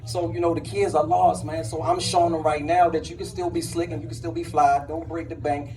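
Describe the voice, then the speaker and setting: High-pitched man in his forties, distant mic. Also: level tone, fairly quick, a little mellow, slight background noise. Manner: street slang, emphatic, preacherly. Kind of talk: impassioned personal testimony to a gathering. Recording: distant mic at a live event.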